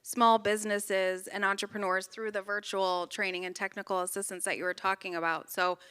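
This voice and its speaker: Bright, clear-voiced woman moderating. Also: mid-range voice, level tone, fairly quick. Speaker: panel moderator. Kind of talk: informal panel moderation. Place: conference room.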